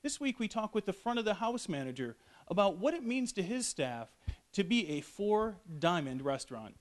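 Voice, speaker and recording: A man as news anchor, reading story introductions smoothly from a script. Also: high-pitched, very animated, normal speed.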